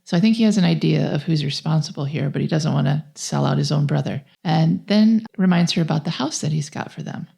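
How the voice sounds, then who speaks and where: A woman talks through a film as a podcast co-host. Her voice sits very low for a woman, with a soft, mellow tone and plenty of vocal fry. The delivery is conversational. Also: brisk, few pauses. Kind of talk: conversational film plot recap.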